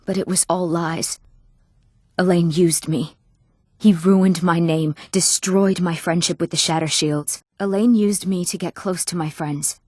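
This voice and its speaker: A woman's voice: fairly low pitch, fairly even intonation, measured pace, darkish tone, no room sound, clean studio sound. A woman voicing an emotive, dramatic young woman in a fantasy video game. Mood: bitter, wounded. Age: young adult. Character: eager, wronged young woman of a fantasy world. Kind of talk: video game character voice lines.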